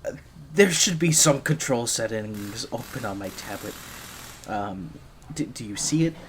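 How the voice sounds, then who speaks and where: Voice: mid-range voice, animated, unhurried, lightly acted. A performer voicing a nervous, flustered young gadget engineer in an ASMR roleplay, stammering shyly and breaking off mid-phrase. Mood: flustered, awkward.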